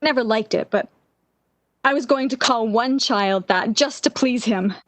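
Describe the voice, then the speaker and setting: A woman's voice: fairly high pitch, unhurried, dry recording, natural voice. An actress playing a lovesick, obsessive jilted young woman in the impassioned style of 1940s radio drama. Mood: wistful, despairing. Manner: melodramatic, yearning, impassioned.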